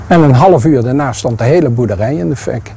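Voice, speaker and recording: An older man outdoors recounting childhood wartime memories in an interview, slow and reflective. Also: mid-range voice, creaky, music in the background. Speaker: eyewitness interviewee. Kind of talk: recollection in interview. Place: outdoors by a farmhouse.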